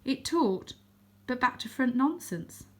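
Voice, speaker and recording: A woman narrating a children's book aloud as a storyteller, with expressive delivery. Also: sits high, slow pace. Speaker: storybook reader.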